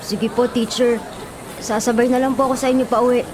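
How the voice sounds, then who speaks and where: A woman's voice: a little high, little pitch movement, a little fast, crowd noise, background noise, close to an everyday voice. A woman with a Filipino accent voicing a polite, earnest, downhearted young schoolboy in a radio drama. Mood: resigned, polite. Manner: respectful, childlike, earnest. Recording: music under the voice.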